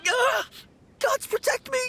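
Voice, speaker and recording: A man's voice: extremely high pitch, steady tone, measured pace, creaky, bright, forceful tone, exaggerated voice. An actor playing a timid, easily frightened young male apprentice undertaker in a video game, pleading and skittish. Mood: terrified. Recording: music behind the voice.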